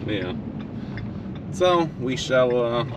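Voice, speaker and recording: A man in his thirties narrating a casual work vlog about hauling campers, relaxed and unhurried with lots of fillers. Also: mid-range voice. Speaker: towing business owner. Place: truck cab and outdoor work yard. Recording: noisy with vehicle and engine hum.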